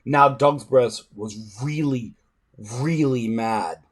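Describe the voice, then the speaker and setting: A man reading a storybook aloud in an expressive, dramatic way. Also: medium pitch, slow, breathy edge. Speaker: reader.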